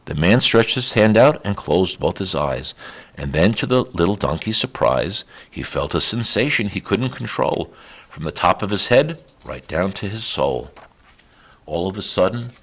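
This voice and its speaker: A man reading a rhymed children's story aloud as a storyteller, with measured, expressive rhythm. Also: sits low. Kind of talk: children's read-aloud, rhymed scripted narration.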